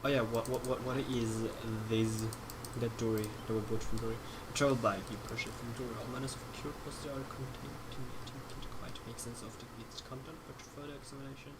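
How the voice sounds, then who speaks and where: A man who is a native Swedish speaker, giving casual, halting game livestream commentary and repeating words as he mutters to himself. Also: medium pitch, little pitch movement. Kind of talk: casual play-along commentary. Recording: clear background noise from game. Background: game sounds and ambience.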